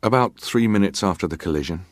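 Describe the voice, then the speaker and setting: A man acting a middle-aged ship's officer in a dramatised reading of testimony, giving guarded, measured answers with clipped formal deference. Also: sits low, lively intonation, no echo, studio quality. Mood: guarded, composed.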